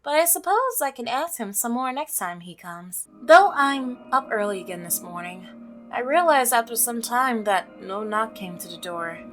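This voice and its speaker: A woman's voice: a little high, expressive, moderate pace, fairly bright tone, faint music behind. A woman voicing a polite, inquisitive young female neighbor who narrates a visual novel. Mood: puzzled.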